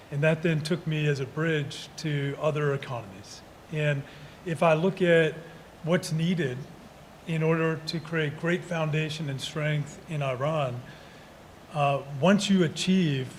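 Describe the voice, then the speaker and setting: A man's voice: a little high, a little hiss or hum. A man speaking earnestly and steadily as a panelist at a conference. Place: conference hall.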